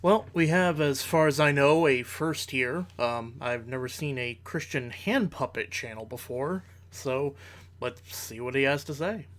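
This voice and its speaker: Male commentator for reaction content. Mid-range voice, measured, formal phrasing, reasoned and argumentative. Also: medium pitch, fairly bright tone. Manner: measured, analytical, formal wording.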